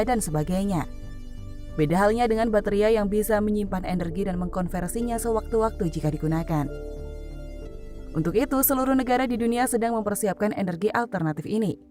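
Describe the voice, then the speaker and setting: A woman's voice: mid-range voice, darkish tone. A woman reads scripted news commentary in a formal manner. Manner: formal, read-out delivery. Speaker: narrator. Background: background music.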